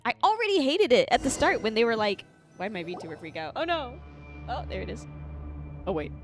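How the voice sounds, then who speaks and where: A woman's voice: a little high, very animated, brisk. A chatty, opinionated woman doing casual, sweary commentary while live streaming a game. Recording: game music behind the voice.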